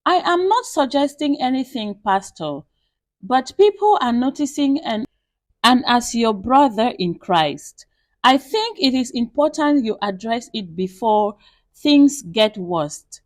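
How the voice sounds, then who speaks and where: Lady narrator doing a worried church elder guy in a story, earnest and careful, slow, dry sound. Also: fairly high pitch, very animated, no echo. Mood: cautioning. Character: earnest male church elder, cautioning and concerned.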